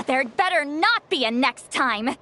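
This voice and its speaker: An actress playing a proud, easily flustered young woman in a video game, expressive and quick to sound embarrassed. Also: sits high, exaggerated voice. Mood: indignant. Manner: expressive, indignant when embarrassed.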